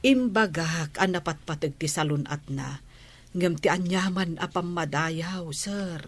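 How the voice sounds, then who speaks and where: A woman's voice: fairly low pitch, unhurried. A woman playing a loyal maid and nanny in a Filipino radio drama, deferential yet firm and earnest, with an Ilocano accent. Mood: earnest explaining.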